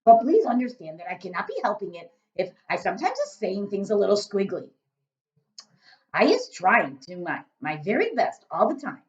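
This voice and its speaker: A woman voicing a huge, very old, kindly male giant who talks loudly with jumbled grammar and made-up words, in a storybook reading. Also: mid-range voice, sing-song, moderate pace, dull audio, voice pushed up, close to an everyday voice. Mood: earnest.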